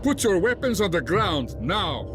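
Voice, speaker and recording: A suspicious guard captain in a video game. He barks brusquely in a fairly high, very animated voice over loud music. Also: a little slow. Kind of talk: scripted video game dialogue.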